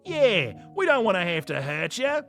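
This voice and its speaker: Man acting a menacing soldier, mock Aussie-British accent, raised pitch, forceful, storybook reading, music behind. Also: huge pitch swings, moderate pace, vocal fry, heavily acted. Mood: threatening. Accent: mock British drifting into Australian. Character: rude, menacing grown male soldier, gruffly threatening. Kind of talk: dramatic amateur book reading.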